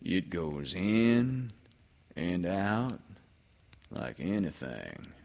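A man voicing a mournful old male donkey from a storybook, low-key and glum, drawing out his words. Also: sing-song, drawn-out words, vocal fry, darkish tone, long reverb tail, exaggerated voice. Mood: quietly pleased.

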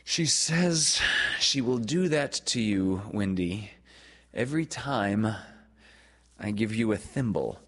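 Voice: medium pitch, animated, slow pace, pitched above the performer's own voice. A narrator voicing a cocky young boy adventurer in a storybook, earnest, sly and coaxing. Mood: puzzled, earnest.

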